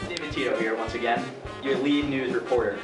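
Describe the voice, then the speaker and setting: A man's voice: medium pitch, fairly even intonation, fairly quick, lightly acted. A young man playing a casual, probing 1920s news reporter in an amateur mock radio interview. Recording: echoey distant mic under music. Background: instrumental music.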